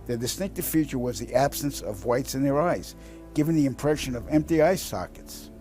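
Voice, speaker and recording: A man narrating a first-person account in a measured storytelling style. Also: mid-range voice, animated, darkish tone, faint music behind. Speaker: testimonial narrator.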